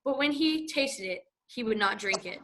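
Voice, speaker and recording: Bright-voiced woman reading scripture. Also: a little high, lively intonation, moderate pace. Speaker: scripture reader. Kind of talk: church scripture narration.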